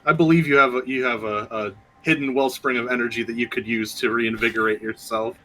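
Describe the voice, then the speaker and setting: A man with a bright, forward voice at medium pitch plays a tabletop game. He talks casually and haltingly, often restarting words as he works out his move. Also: steady tone, slight background noise. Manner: halting, repeats word starts.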